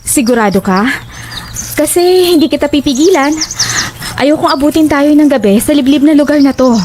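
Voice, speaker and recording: A woman's voice: high-pitched, moderate pace, noisy background, close to an everyday voice. A woman playing a young, fretful and inquisitive travelling companion in conversational audio horror drama dialogue. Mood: concerned. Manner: inquisitive, everyday conversational delivery.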